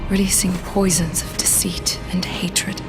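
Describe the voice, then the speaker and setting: A woman plays a solemn, haunted visionary in a cinematic game trailer. Her voice is low and very even, with slow, portentous phrasing. Loud, eerie music sits under her. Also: whispered, lightly acted. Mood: ominous. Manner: grave, measured, portentous.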